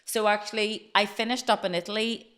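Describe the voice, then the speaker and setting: A woman's voice: mid-range voice, steady tone, a little fast, a bit bright. A woman in her late forties, a podcast guest telling her life story in a chatty, flowing way. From Northern Ireland. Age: late 40s. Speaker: interview guest. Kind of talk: casual podcast interview.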